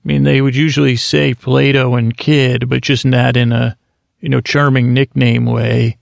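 A man's voice: medium pitch, level tone, normal speed, dry recording. A man hosting a sleep podcast, meandering through a self-deprecating ramble meant to lull listeners to sleep. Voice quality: creaky. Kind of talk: rambling bedtime talk.

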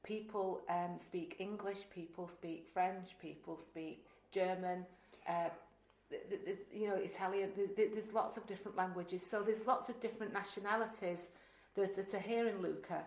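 In a clearly echoey space, a woman hosts a relaxed chat-style interview. She rephrases as she goes and offers frequent agreement to her guest. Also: medium pitch, normal speed. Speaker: interview host. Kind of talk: casual conversational interview. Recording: echoey, roomy sound.

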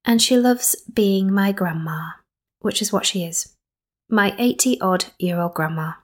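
A woman's voice: medium pitch, unhurried. A young woman from Skipton, hosting and interviewing in a rambling style with playful asides. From Skipton, North Yorkshire. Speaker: podcast host. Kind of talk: chatty spoken introduction and interview.

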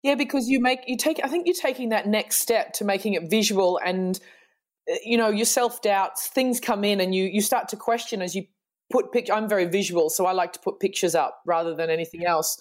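A woman's voice: medium pitch, a little fast. A woman guest in a casual interview, speaking earnestly and reflectively, with some restarts. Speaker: guest, fitness trainer.

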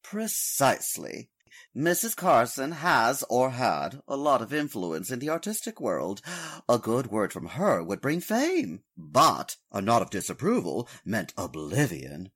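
An actor as a husky, fussy, smug gentleman collector. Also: medium pitch, huge pitch swings, measured pace, heavily acted. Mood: sly, knowing. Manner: fussy, precise, affected, drawling for effect. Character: urbane, smug gentleman stamp collector who relishes gossip. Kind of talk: old-time radio drama acting.

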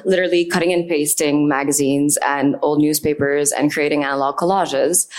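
A woman artist from Lebanon, speaking on a panel on stage in an earnest, reflective way. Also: sits low. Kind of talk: panel talk. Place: event stage.

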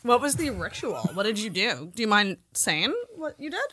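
A woman's voice: medium pitch, big pitch swings, normal speed, bright, forceful tone. A woman co-hosting a podcast in casual comedic chat, speaking in a frank, bawdy way. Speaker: podcast co-host.

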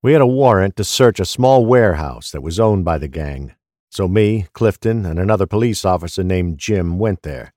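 Low-voiced, weary middle-aged detective , played by a man. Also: expressive, normal speed, mellow, no room sound, clean studio sound. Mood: weary, confessional. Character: weary middle-aged male police detective, recovering alcoholic, confessional. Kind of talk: confessional monologue in audio drama.

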